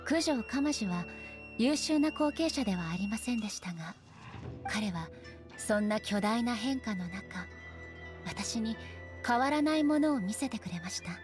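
A woman playing a solemn female ruler deity in a Japanese anime game, speaking in a composed, formal, measured manner. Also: a little high, lively intonation, music in the background, lightly acted.

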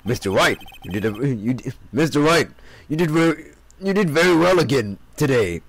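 A man voicing a burly, weepy middle-aged man from a detective game in a deep, gruff voice. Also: animated, normal speed, dry recording. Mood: tearful.

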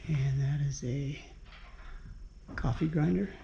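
A man's voice: on the high side, takes it slowly, vocal fry, warm tone, a little hiss or hum. A man vlogging a walking tour through a small museum and historic village, marvelling at everything and reading signs aloud, recorded on an action camera. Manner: marvelling, keeps saying wow, reads signs aloud. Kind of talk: casual walking-tour commentary. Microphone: action camera mic. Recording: slightly dull action-camera audio.

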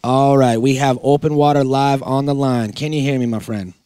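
A man hosts a casual podcast interview in a laid-back, slangy manner. His tone is mellow and mid-pitched, with very little room sound around it. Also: fairly even intonation, normal speed, clear, resonant tone, no echo. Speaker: podcast host.

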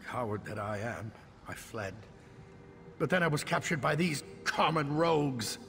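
A cavernous, reverberant game recording of a fearful middle-aged mage held captive. He is indignant and theatrical, with a creaky edge and animated, swinging pitch. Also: unhurried, soft background music. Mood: shaken, ashamed. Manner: agitated, theatrical. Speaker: game character actor. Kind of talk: video game dialogue acting. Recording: long reverb, background noise.

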